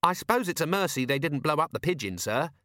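Brief: male reader as a polite, eager young dwarf corporal, slightly raised in pitch. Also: lively intonation, quick pace, sharp tone, dry recording, studio quality. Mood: earnest polite.

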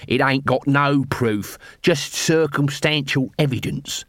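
A man's voice: medium pitch, slow pace, fairly bright tone, no echo. A man voices a scruffy watch corporal from an audiobook. He is cheeky and offhand, talking colloquially in a dry recording. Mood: dismissive.

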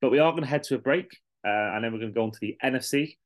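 A man's voice: mid-range voice, racing. A man hosting a podcast, talking through sport in a casual discussion style. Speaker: podcast host.

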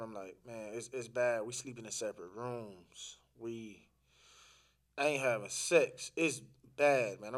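A man's voice: medium pitch, a bit bright. A man talking casually as a podcast guest, telling a personal story in informal, slangy, sometimes profane language, acting out quoted dialogue and repeating phrases for emphasis.

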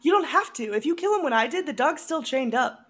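A woman's voice: high voice, animated, brisk, fairly bright tone. A woman co-hosting a casual film review chat, often breaking into giggles as she talks. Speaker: podcast co-host.

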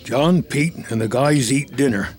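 A man reading screenplay action as scripted narration, in a measured, present-tense manner. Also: mid-range voice, noisy background.

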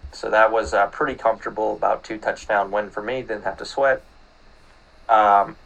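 Laid-back low-voiced podcast co-host. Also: male voice, vocal fry, a bit bright, rough recording. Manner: laid-back, filler-heavy, swears casually. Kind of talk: casual sports betting chat.

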